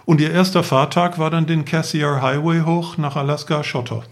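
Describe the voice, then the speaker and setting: Male podcast guest, fifties, fairly high creaky voice, relaxed storytelling. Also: normal speed. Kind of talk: casual anecdotal storytelling. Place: living room.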